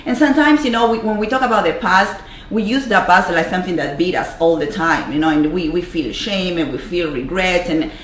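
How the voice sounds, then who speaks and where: A woman's voice: fairly low pitch, fairly quick, hardly any pauses, fairly bright tone, reverberant, slight background noise, poor audio. A woman from Argentina with a strong Argentine Spanish accent, giving an earnest motivational talk as a life coach on a livestream, with lots of fillers and repeated words. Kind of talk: motivational Facebook Live talk. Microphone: camera mic on a livestream.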